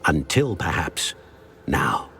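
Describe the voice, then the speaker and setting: An actor voicing a solemn, ancient male wizard in a talking portrait for a video game, speaking in a grave, measured and formal way. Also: extremely low pitch, very animated, gravelly, pushed and bright, slight background noise, heavily acted. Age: older. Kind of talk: video game cutscene acting.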